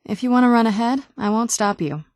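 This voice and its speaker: A woman's voice: on the high side, lively intonation, a little fast, darkish tone, no room sound, close to an everyday voice. A woman playing a guarded, wry vampire noblewoman in a fantasy video game, talking in a dry, understated, conversational way. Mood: teasing, headstrong. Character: guarded, wry ancient vampire noblewoman who looks young. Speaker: adventuring companion character in a fantasy video game. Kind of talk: video game dialogue acting.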